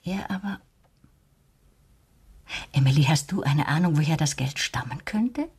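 Formal lady, radio play, lowish, breathy-husky, polite but firm. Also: expressive, a little slow, a little mellow, heavily acted. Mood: reassuring, probing. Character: composed, formal, resolute lady, protective of a young friend. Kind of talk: radio drama acting.

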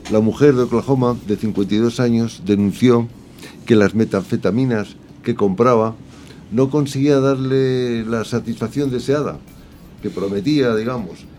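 A man giving casual, amused radio commentary on news stories in a halting way. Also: medium pitch, dark tone, soft background music. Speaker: radio show contributor. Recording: faint background noise.

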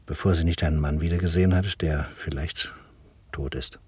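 An actor playing a weary, resigned doctor in a wartime radio drama, explaining himself hesitantly and deliberately. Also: male voice, rumbling low, fairly even intonation, a little mellow, slightly rough, no room sound, exaggerated voice. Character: weary, resigned male doctor fleeing the war. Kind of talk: dramatic radio play acting.

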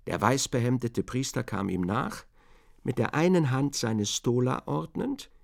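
A man reading scripted literary narration for a radio drama in a measured, precise way. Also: mid-range voice, expressive, creaky.

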